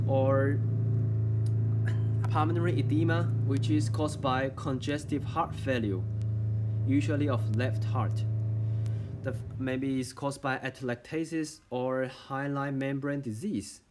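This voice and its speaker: A young man with a Chinese accent walks through a medical lesson at a measured, halting pace, posing questions and then answering them himself. His voice sits at an ordinary middle pitch. A faint hiss of noise runs behind him. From China. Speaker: medical student. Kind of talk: lecture-style explainer video.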